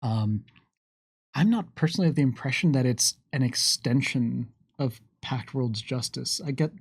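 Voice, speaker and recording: A man's voice: medium pitch, normal speed, breathy edge, no room sound, clean studio sound. A man hosting a livestream, chatting casually through viewer questions in a wry, musing way with playful asides. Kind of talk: casual game lore Q&A chat.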